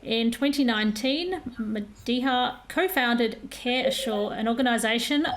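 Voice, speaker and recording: A woman's voice: on the high side, a little slow, low-quality recording. A woman hosting a podcast, reading a scripted introduction and then asking friendly questions. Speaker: podcast host. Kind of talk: podcast interview.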